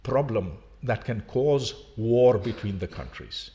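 Indignant older male lecturer, animated pitch. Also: slow. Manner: emphatic, indignant, rhetorical questions. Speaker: university professor. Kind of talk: public lecture.

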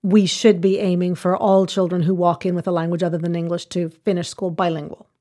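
A woman's voice: fairly low pitch, level tone, a little fast, mellow. A woman being interviewed, laying out her points in order in an earnest, explanatory way. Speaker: interview guest, language education expert. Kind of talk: measured conversational explanation.

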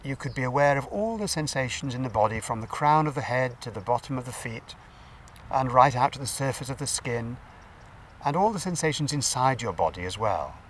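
Man guiding meditation, expressive, distant mic. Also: mid-range voice. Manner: gentle, unhurried instruction with pauses. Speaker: meditation teacher. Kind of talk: guided meditation. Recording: distant mic, faint background noise.

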